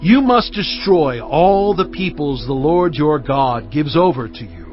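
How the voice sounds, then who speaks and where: Solemn prophet, exhorting, highish male voice, very expressive pitch, deliberate oratorical phrasing, audio drama, music behind. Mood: fervent warning. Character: Moses, solemn, impassioned male prophet exhorting his people. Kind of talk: dramatised scripture reading. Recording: dull, band-limited audio with music behind.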